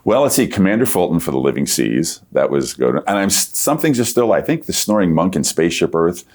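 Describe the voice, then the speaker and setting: A man in his sixties with a very deep radio voice, reminiscing casually and easily in an interview as a storytelling guest. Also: big pitch swings, a little fast, darkish tone. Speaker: interview guest, veteran themed entertainment creative.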